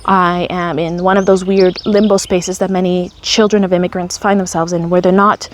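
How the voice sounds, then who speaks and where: A woman's voice: medium pitch, darkish tone, a little hiss or hum. A woman, telling her own story in a candid, wry, conversational way. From Canada. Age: 30s. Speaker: vlogger. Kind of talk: personal storytelling.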